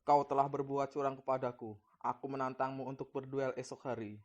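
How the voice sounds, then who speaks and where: Cartoon folktale villain prince, played by a man: jealous, confrontational, rapid delivery, rather flat pitch, slightly muffled audio. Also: natural voice. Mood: angry, accusing. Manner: accusatory, confrontational. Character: jealous vengeful prince, accusing his brother-in-law. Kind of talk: acted dialogue in a cartoon folktale.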